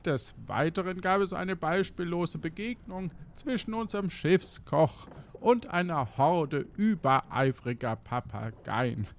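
A man's voice: fairly high pitch, lively intonation, unhurried, voice pushed up. A man playing a formal, overly polite pirate crew spokesman delivering an apologetic announcement in a comedy audio drama. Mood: solemnly explanatory.

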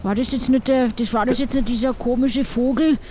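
A man's voice: very high voice, fairly even intonation, brisk, darkish tone. A man, improvising casually in character in a role-play. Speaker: player in an improvised audio-play adventure, as a ship's doctor. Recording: clear background noise.

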